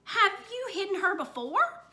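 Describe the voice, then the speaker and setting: A woman's voice: extremely high pitch, big pitch swings, normal speed, sharp tone, voice pushed up, heavily acted. A woman voicing an anxious, stern young mother who worries and scolds. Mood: scolding.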